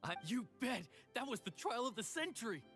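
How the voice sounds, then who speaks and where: Animated, polite theatre troupe member. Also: female voice, a little high, fairly quick, soft background music. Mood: polite, formal. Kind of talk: video game voice acting.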